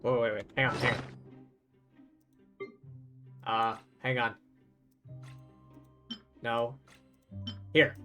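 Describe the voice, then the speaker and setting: Male streamer, casual game commentary, medium pitch, halting and repetitive, clear music in the background. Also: flat delivery, pushed and bright. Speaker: live streamer.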